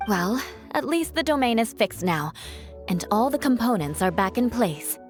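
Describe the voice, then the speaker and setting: A woman voicing a calm, reassuring young female companion in a video game, speaking in a composed way. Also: fairly high pitch, moderate pace. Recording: music clearly behind the voice. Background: game background music.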